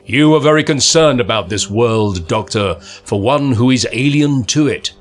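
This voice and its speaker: A man's voice: medium pitch, moderate pace, faint music behind, natural voice. A man reading aloud and voicing an arrogant male god-king with a haughty, imperious manner.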